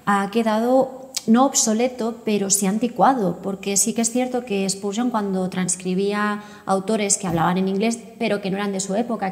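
A woman's voice: medium pitch, a little mellow. A woman in her thirties hosting an interview, talking in an explanatory, articulate way. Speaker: podcast host, interviewer. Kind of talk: conversational interview. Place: indoor book-lined room. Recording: reverberant indoor room.

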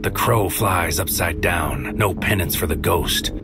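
A deep-voiced, tormented, brooding prophet of doom. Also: male voice, unhurried. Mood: haunted, brooding. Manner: solemn, intense, incantatory, often dropping to whispers. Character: tormented brooding man wielding godlike destructive power. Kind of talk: dark poetic first-person monologue in sci-fi audio drama. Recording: loud music under the voice. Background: loud music bed.

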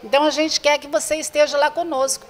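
An older Brazilian woman with a fairly high voice speaks as an interview guest. She is earnest and repeats her points, addressing viewers directly. The handheld mic picks up a noticeably reverberant room. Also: flat delivery, fast talker. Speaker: association president, interview guest. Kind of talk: spoken interview inviting the public to a charity event. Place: indoor room with bare walls. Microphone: handheld reporter's microphone held before her.

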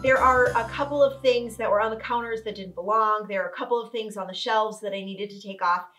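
This is a woman in her thirties, a home organizing vlogger, sitting on a couch indoors and talking to the camera. Her voice sits a little high, and she explains each step clearly in an upbeat, teaching manner. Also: fairly quick, a bit bright, soft background music. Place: living room with couch, indoors.

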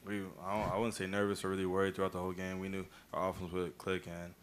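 A young man, a college basketball player, answering press questions quickly and matter-of-factly into a table mic. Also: sits low, a little hiss or hum. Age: young adult. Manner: quick, even, matter-of-fact. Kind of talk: postgame press conference answers. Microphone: table mic on a stand.

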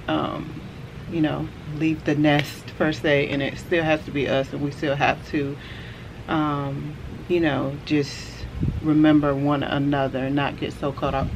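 A woman in her forties, a vlogger, chatting casually to camera on a house porch, relaxed and rambling with lots of fillers. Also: low-pitched, muffled recording. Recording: outdoor camera audio with clear background noise.

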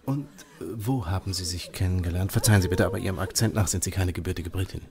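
An actor playing a brilliant, self-assured detective who lectures briskly about his deductions. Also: low voice, a crowd in the background. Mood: probing. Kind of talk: audio drama acting.

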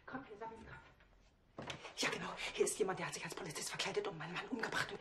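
An actress as a scared middle-aged official's wife in a television drama. She sounds distant and echoey. Loud noise surrounds her medium-pitched voice. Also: fast, close to an everyday voice. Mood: frightened, urgent. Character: frightened middle-aged police president's wife. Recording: distant, very noisy, echoing room.

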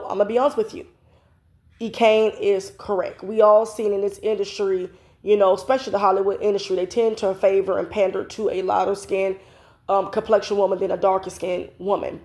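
A woman's voice: mid-range voice, flat delivery, fairly quick, darkish tone. Congested woman giving chatty opinions. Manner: chatty, opinionated, frequent "you know" fillers. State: congested from a cold. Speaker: vlogger host. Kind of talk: casual commentary vlog. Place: home, indoors. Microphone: phone camera close up.

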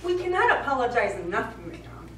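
An actress playing a polite, apologetic, slightly exasperated customer in a live stage comedy. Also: female voice, a little high, very animated, fairly quick. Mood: placating. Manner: polite, conciliatory. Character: apologetic, placating, mildly exasperated female customer. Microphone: distant audience camera. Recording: echoey theatre, distant mic, faint noise.

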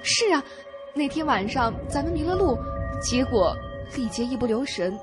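A woman's voice: high voice, big pitch swings, moderate pace. An actress playing an anxious young woman companion in a suspense radio drama, emotive and pleading. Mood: concerned. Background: dramatic background music.

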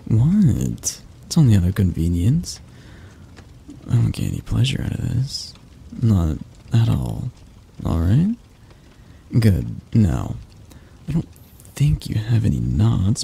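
A man playing a possessive, doting mafia boss in an ASMR roleplay, speaking in a husky, halting, intimate and condescending way. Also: sits low, mellow, slight background noise, heavily acted. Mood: commanding, intimate. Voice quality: husky, breathy. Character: possessive young male mafia boss, doting yet controlling.

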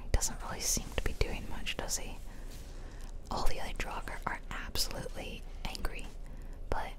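A woman giving ASMR game commentary in a soft, chatty, self-deprecating way, close up on the mics. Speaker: gaming video host. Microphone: close ear-to-ear stereo mics.

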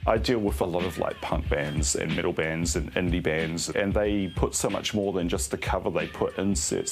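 Deep-voiced laid-back Kiwi man. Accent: New Zealand. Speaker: music shop interviewee. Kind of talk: casual interview. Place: small record shop. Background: music.

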